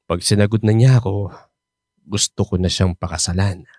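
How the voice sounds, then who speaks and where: A lowish male voice plays a lovestruck older father in a radio drama. He is earnest and tender, with halting, stammering phrases. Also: fairly even intonation, darkish tone, no echo, studio quality. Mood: earnest, pleading.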